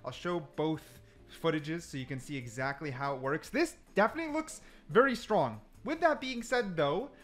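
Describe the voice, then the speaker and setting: Male gaming host, lively swooping delivery, slightly high voice, casual commentary that repeats for emphasis, light music underneath. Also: normal speed. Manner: animated, repeats phrases for emphasis.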